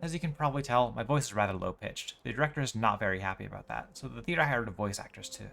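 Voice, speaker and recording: A man's voice: medium pitch, fast talker, creaky, a bit bright, soft background music. A man voicing a proud, worried female musical theater performer with formal phrasing, from game dialogue. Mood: proud, aggrieved. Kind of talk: reading game dialogue aloud.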